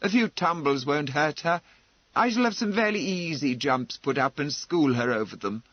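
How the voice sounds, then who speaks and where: A man's voice: on the high side, animated, moderate pace, no echo, lo-fi sound. Guy doing a cold bossy aristocrat from a novel, curt, matter of fact, dry recording. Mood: unyielding. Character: cold, commanding, unbending aristocratic lord.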